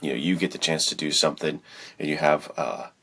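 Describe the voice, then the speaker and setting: A man with a deep voice chats as a podcast host. He is casual and enthusiastic, using loose slang. His manner is encouraging toward listeners. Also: steady tone, fairly quick, vocal fry, sharp tone.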